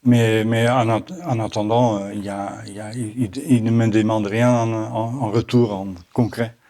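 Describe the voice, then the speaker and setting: A middle-aged man from the Netherlands with a Dutch accent, answering audience questions at a conference in halting French full of restarts. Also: lowish voice, flat delivery, dark tone. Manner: halting, many restarts and repeated words. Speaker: botanical garden owner answering questions. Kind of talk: Q&A after a conference talk.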